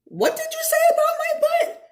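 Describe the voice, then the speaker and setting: A sarcastic, bickering girl from a children's storybook, voiced by a woman reader. She is snappy and taunting, and her pitch leaps upward as she needles people. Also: fairly even intonation, normal speed. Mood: threatening, indignant. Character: bickering, sarcastic young girl with a sharp tongue. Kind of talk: children's storybook read-aloud.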